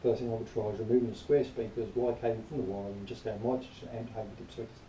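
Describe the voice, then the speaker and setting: Dark-voiced man, distant in an echoey room. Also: lowish voice, fairly even intonation, fast talker. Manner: rambling, matter-of-fact grumbling. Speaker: product reviewer. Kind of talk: informal spoken review, partly reading aloud. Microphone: camera at a distance. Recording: distant quiet mic, roomy echo, faint noise.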